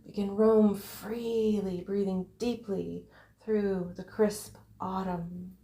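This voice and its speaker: Slow, mellow woman guiding a visualization. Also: medium pitch, steady tone, roomy sound, a little hiss or hum. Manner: unhurried, short separated phrases, breathy emphasis. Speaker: guided visualization narrator. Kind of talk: guided visualization, meditative first-person narration.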